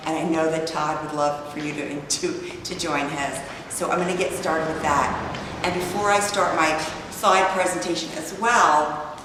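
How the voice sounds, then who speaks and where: A hoarse woman presenting a business workshop in an open, inviting way, sometimes trailing off and restarting. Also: sits low. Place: event hall in an arts building. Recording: echoey hall, mic far off, background noise.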